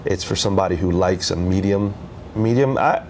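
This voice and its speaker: Low-voiced man, relaxed easygoing chat. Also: moderate pace, a little hiss or hum. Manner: relaxed, easygoing, many fillers. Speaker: podcast co-host. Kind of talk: casual chat.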